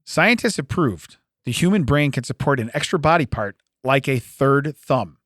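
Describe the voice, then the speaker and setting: A man in his late forties, co-hosting a casual podcast chat, animated and laughing often as he acts out little scenes. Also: medium pitch, normal speed, no room sound, studio quality. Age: 40s. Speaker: podcast co-host.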